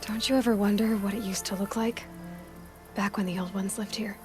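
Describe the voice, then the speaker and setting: A woman's voice: medium pitch, steady tone, fairly quick, lightly acted. Woman acting as young female hunter, bold, plain-spoken, wry, mid-range voice, naturalistic video game drama, faint music. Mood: quiet, reflective.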